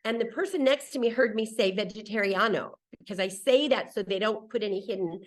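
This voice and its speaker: A woman's voice: mid-range voice, fairly quick. Woman in her sixties telling rambling stories. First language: English. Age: 60s. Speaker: interview guest, solo traveler. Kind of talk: casual conversational storytelling.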